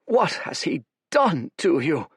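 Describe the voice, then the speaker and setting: A man's voice: fairly high pitch, huge pitch swings, unhurried, sharp tone, husky, no echo, clean studio sound, pitched above the performer's own voice, exaggerated voice. A man voicing a male god of fate, his voice tight with desperation. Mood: desperate.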